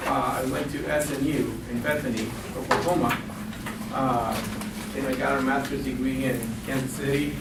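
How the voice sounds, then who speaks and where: A man's voice: mid-range voice, fairly even intonation, moderate pace, creaky. A man in his forties from Guatemala and Costa Rica, giving a chatty financial literacy workshop and asking the audience questions. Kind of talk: classroom workshop presentation. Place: lecture room. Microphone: far camera. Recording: echoey room from far off, noisy.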